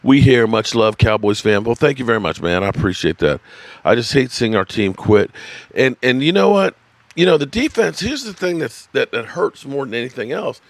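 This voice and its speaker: A man's voice: mid-range voice, very animated, normal speed, no room sound. A man in his fifties hosting a live sports stream, rambling, swearing and laughing as he reads viewers' comments from his desk. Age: 50s. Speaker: sports channel host. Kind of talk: live-stream sports talk, reading chat.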